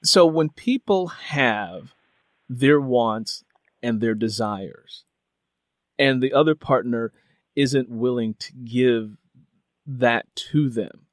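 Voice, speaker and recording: A man hosting an interview, thinking aloud in a conversational way with halting, repeated phrases as he builds his questions. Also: medium pitch, dry recording. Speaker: interviewer, host.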